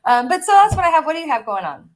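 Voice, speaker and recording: A woman's voice: high-pitched, very animated, very fast. A woman co-hosting a casual reseller chat, bubbly and gushing, laughing often. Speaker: co-host of a reseller chat show.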